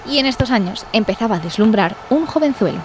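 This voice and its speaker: A woman's voice: on the high side, expressive, fast talker, a little mellow, slight background noise. A woman hosting a scripted, humorous radio story, chatting directly to the listeners. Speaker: host and storyteller. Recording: music playing behind voice.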